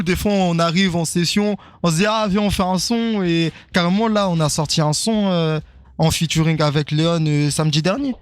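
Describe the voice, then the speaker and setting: Young male rapper guest, slightly hoarse, easygoing chat, dry studio sound, voice sits a little high. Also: dry recording. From Congo (Kinshasa), grew up in France. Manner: easygoing, repeats "c'est ça", "et cetera". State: hoarse after performing. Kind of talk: casual radio interview.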